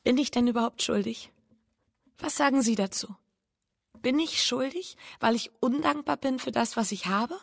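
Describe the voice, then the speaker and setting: An actress playing an anguished, guilt-ridden bourgeois wife in a radio drama, speaking with breathy, introspective intensity. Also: female voice, a little high, no echo, studio quality. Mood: agitated, confessing. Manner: intense, introspective, pleading, halting repetitions. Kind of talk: German radio drama, intimate monologue and confession.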